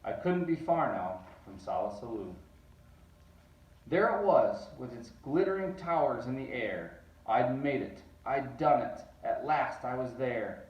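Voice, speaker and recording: A man reading a rhyming children's story aloud in an expressive, narrating way. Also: mid-range voice. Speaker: storybook reader. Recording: roomy, distant, dull sound.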